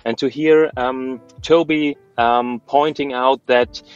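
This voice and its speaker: A man whose first language is German, a podcast guest speaking in halting casual conversation with frequent fillers. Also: mid-range voice, fairly even intonation, clear, resonant tone, muffled recording, soft background music.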